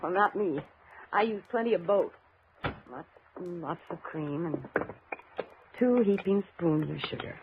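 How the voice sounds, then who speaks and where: A woman playing an anxious, chatty young woman in a 1950s radio drama, earnest and expressive. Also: lowish voice, unhurried, pauses often. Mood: chatty, settling. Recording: muffled, noisy 1950s radio recording.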